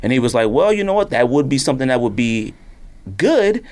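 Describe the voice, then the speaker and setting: Middle-aged male podcast host, mid-pitched and very lively, opinionated, loose and rambling, leaning on repeats. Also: a little fast. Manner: rambling, emphatic, repeats himself for stress. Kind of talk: casual opinionated podcast chat. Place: podcast studio.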